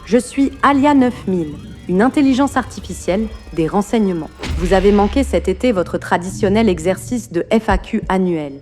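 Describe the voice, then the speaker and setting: A woman's voice: mid-range voice, moderate pace, few pauses, darkish tone, faint music behind, natural voice. A synthetic woman's voice as a clipped AI interrogator. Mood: cold, formal. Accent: slight British accent. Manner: formal, clipped, commanding announcements. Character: cold female intelligence-agency AI interrogator.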